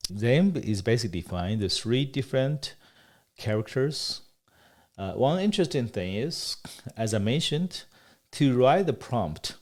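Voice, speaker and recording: A man whose native language is Chinese talks through a screen-recorded tutorial in a somewhat low voice. He explains each step plainly, pausing often and correcting himself mid-phrase. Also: darkish tone. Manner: explanatory, with frequent pauses and self-corrections. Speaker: developer giving a product demo.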